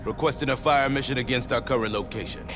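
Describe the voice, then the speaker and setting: An actor playing a seasoned, gruff older sergeant major with blunt military talk in a cinematic game. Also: male voice, mid-range voice, quick pace, music in the background, lightly acted. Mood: urgent, resolute.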